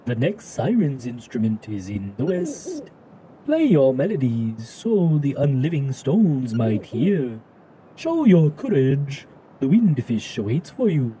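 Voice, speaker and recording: A man's voice: medium pitch, big pitch swings, dark tone, slight background noise, pitched above the performer's own voice, low-quality recording. A man voicing a solemn, ancient owl guide in a video game, speaking in a portentous way. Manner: slow, portentous.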